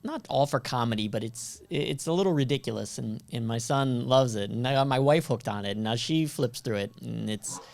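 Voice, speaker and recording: A man's voice: mid-range voice, a little fast, vocal fry, hardly any pauses, a little mellow. A man with an American accent hosting a casual remote interview, asking questions in a conversational way. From United States. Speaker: interview show host.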